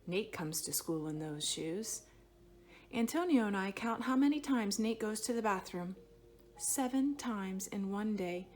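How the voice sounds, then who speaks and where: A woman reading a children's picture book aloud expressively, with dramatic phrasing that stretches some words and hushes others. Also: medium pitch, slight background noise. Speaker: storybook reader.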